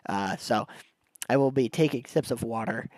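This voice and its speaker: A man's voice: medium pitch, moderate pace, a little mellow, no echo. Young male game streamer, stuffed-up nose, loose rambling chat, dry close-mic sound. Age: young adult. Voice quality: congested, nasal from a cold. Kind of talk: casual livestream chat while gaming.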